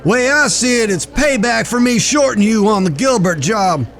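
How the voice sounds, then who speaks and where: Man acting a high-voiced, folksy Wild West marshal. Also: animated, moderate pace, fairly bright tone, faint music behind. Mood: jovial, teasing. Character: jovial, foul-mouthed frontier US marshal, old frenemy. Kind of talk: Western audio drama acting.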